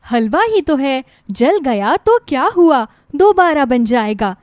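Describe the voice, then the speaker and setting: A woman voicing a gentle, caring middle-aged mother-in-law, reassuring and motherly, in a moral story. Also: high voice, very animated, slow, dry recording, clean studio sound, heavily acted. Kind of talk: Hindi moral story acting.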